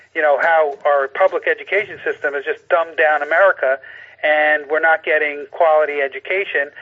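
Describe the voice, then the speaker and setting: Tinny phone audio, man, slightly high pitch, earnest, halting delivery, call-in political talk. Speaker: radio call-in guest. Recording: tinny narrow phone line.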